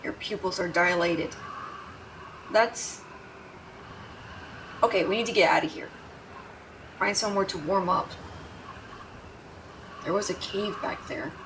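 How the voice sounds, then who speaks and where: A woman's voice: mid-range voice, sharp tone, far from the mic, slight background noise, low-quality recording. A woman playing a brash but caring tsundere young woman in an intimate audio roleplay, scolding with tender worry underneath. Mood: brusque, concerned. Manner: brusque, scolding, exasperated, with tender worried undertones. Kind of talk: intimate dramatic audio roleplay addressed to the listener.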